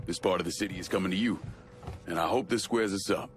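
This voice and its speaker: Actor as a deep-voiced, measured crime boss. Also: male voice, natural voice. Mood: firm, conciliatory. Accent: American. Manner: deliberate, diplomatic, firm. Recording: music bed under the voice.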